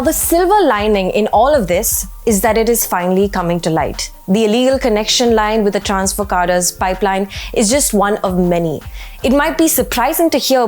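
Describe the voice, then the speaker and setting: Female news presenter, mid-pitched voice, measured explainer delivery, music underneath. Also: darkish tone. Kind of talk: scripted news explainer narration.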